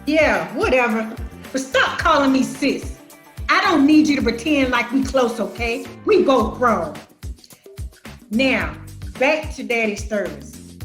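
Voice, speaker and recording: An actress playing a brash, resentful grown sister in a play reading, with a sassy, combative, slangy delivery. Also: female voice, high voice, fairly bright tone, roomy sound, exaggerated voice. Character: brash, defensive, resentful grown sister from Detroit, quick to snap.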